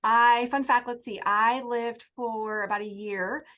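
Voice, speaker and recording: A woman from Tacoma, an international student advisor, speaking on a Q&A panel in a warm, chatty and enthusiastic way. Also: fairly high pitch, fairly even intonation, measured pace, highs cut off. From Tacoma, USA.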